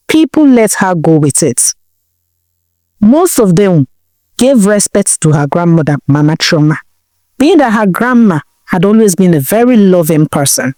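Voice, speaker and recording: A woman telling a village folktale in a dramatic, expressive way, now and then asking the listener questions. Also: medium pitch, dry recording. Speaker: storyteller. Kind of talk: folktale storytelling narration.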